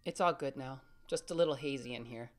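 A woman's voice: rumbling low, brisk, soft background music. A woman playing an anxious, protective older sibling in a family audio drama, speaking earnestly and reassuringly.

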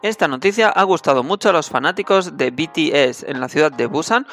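A man's voice: on the high side, expressive, a little fast, fairly bright tone. A man hosting a scripted entertainment news roundup, addressing viewers directly as a presenter. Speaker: host of a Korean entertainment news channel. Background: music under the voice.

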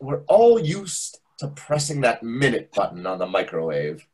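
An actor playing a pompous, needling waiter with a husky voice, theatrical and condescending in a readers theatre comedy. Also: male voice, mid-range voice, huge pitch swings, unhurried, darkish tone. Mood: preachy. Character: pompous, needling, over-familiar Greek restaurant waiter. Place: indoors on a video call. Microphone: video call microphone.

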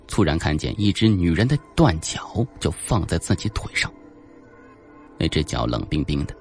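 A man with a low, gravelly voice reads a suspense novel aloud as an audiobook narrator. His delivery is dramatic and animated, moving widely in pitch, over faint music.

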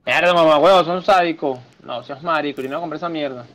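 A man's voice: a little high, brisk, a bit bright. A man chatting casually and crudely in slang during a group gaming session. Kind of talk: casual voice chat while gaming.

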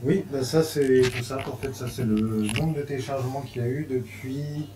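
French male co-presenter, casual, halting, plain mid voice. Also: mid-range voice, fairly even intonation, dark tone, reverberant. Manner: halting, filler-heavy self-introduction. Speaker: co-presenter, technical lead. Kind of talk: casual conference talk. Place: meetup room with audience.

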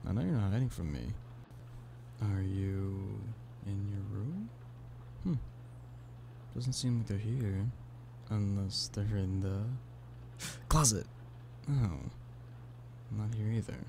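A man's voice: lowish voice, slow pace, vocal fry, pauses often, full character voice. A man playing an affectionate, playful boyfriend in an ASMR roleplay, teasing and pleading in an intimate one-sided conversation. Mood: searching, affectionate. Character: playful, affectionate human boyfriend coaxing a stubborn cat-person. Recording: steady background noise of rain. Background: rain.

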